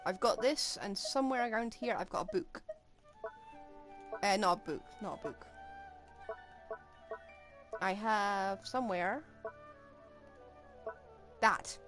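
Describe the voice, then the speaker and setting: A woman's voice: medium pitch, slow, long pauses. A woman doing casual, rambling live commentary as she plays a video game. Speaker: game streamer. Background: game music.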